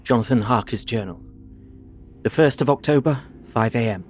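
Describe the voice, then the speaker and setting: Guy playing an earnest devoted husband reading his journal, middle pitch, measured, audio drama with faint music. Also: natural voice. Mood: earnest, reflective.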